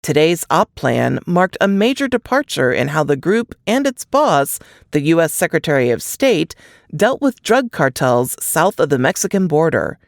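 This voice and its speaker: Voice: mid-range voice, big pitch swings, moderate pace, gravelly, no echo, studio quality. A narrator reading a suspense novel aloud in scripted audiobook style.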